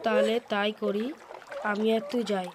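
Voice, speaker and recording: A woman's voice: mid-range voice, little pitch movement, normal speed, background noise, lightly acted. A woman playing a bossy young friend on a night picnic in a cartoon, giving informal orders. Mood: wary. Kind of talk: cartoon voice acting. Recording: music behind the voice.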